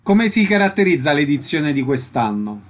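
A man's voice: a little high, sing-song, moderate pace. A man hosting a radio interview, putting scripted questions and introducing guests.

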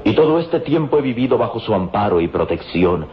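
Loyal Egyptian disciple, grave, deep, portentous, formal, old radio drama, muffled recording with faint noise. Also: male voice, sing-song, fairly quick. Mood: devoted, humble. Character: solemn, loyal Egyptian male disciple guarding an ancient secret. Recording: dull, noisy vintage radio recording.

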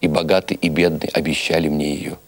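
A man voicing a poor, witty, philosophical husband in a storybook reading, wry, reflective and unhurried. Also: very deep voice, level tone, pitched below the performer's own voice.

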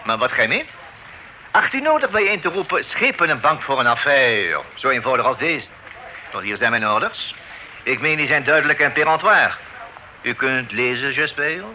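An actor playing a pompous, easily flustered deputy sheriff who talks ornately and officiously, with French words mixed in. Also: male voice, mid-range voice, animated, moderate pace, pushed and bright. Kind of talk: radio play acting. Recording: noisy old radio drama recording.

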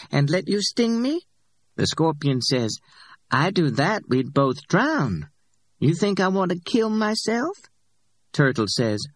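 A man's voice: on the high side, no echo, voice pushed up. A man voicing a tough, streetwise older woman who talks in a drawling, folksy way.

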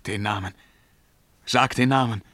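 An actor playing an imperious, brooding emperor in a verse radio drama, declamatory and commanding in a solemn, measured way. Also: male voice, fairly low pitch, a bit bright, no room sound. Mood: stern, demanding. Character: imperious, brooding Byzantine emperor, haughty and troubled.

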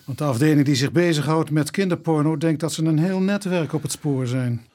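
Male actor as methodical police inspector, radio play, measured and deliberate, slightly high voice. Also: darkish tone, natural voice. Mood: pondering. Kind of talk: radio drama dialogue.

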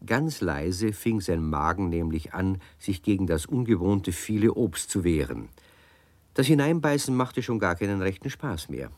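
Deep-voiced man narrating a children's story. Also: big pitch swings, normal speed, dry recording. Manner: warm, cozy storyteller, playful emphasis.

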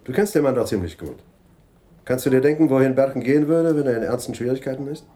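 An actor playing a businesslike, decisive police detective in a radio crime drama. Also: male voice, medium pitch, expressive, fast talker, warm tone, lightly acted. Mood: businesslike, probing.

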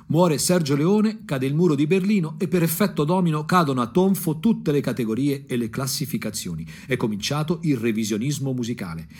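An actor playing a disillusioned provincial ex-punk looking back with bitter irony, in a husky, brooding radio drama monologue with dramatic pauses. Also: male voice, mid-range voice, darkish tone. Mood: agitated, ironic. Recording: music playing under the voice. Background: guitar music.

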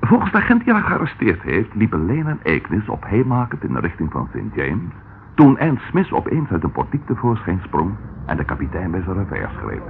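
A man's voice: low voice, wildly swooping pitch, fairly quick, creaky, exaggerated voice. An actor playing a wry, matter-of-fact male police detective reporting in a radio drama. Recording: tinny, noisy old radio recording.

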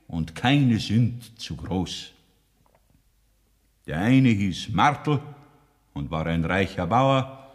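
A man with a Bavarian accent narrating a 1960s radio play in a solemn, preachy sermon manner. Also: medium pitch. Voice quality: solemn, preachy, slow and deliberate. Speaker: narrator preaching a moral tale. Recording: long hall reverb.

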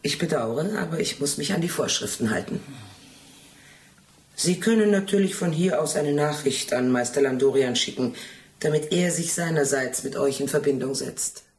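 An actress playing a stern, rule-bound archive gatekeeper in a radio play, formal and measured. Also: female voice, deep voice, darkish tone.

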